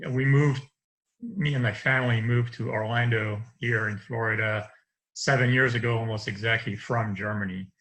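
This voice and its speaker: A man's voice: mid-range voice, a bit bright, poor audio. A man in his fifties with a light French accent, French his first language, talking casually as a guest on a video call from a home study, rambling with restarts and light laughs. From France. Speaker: interview guest. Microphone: video call webcam.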